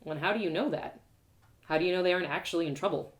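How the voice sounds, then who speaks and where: A woman's voice: lowish voice, very fast, close to an everyday voice. A woman voicing a kind, earnest and outspoken young girl heroine in a storybook reading. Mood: indignant. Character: spirited, kind young girl, indignant at injustice.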